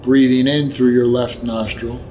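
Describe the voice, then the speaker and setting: Male meditation guide, medium pitch, gentle and very slow scripted delivery with pauses, over background music. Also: little pitch movement, slight background noise. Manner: gentle, unhurried instruction with long pauses.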